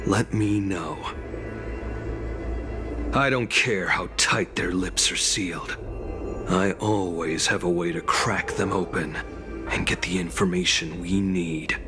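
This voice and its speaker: An actor playing a weary, stern military investigator in a video game, dry and coolly threatening. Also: male voice, low-pitched, steady tone, slow, long pauses. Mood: cool, grim. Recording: music behind the voice. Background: game music.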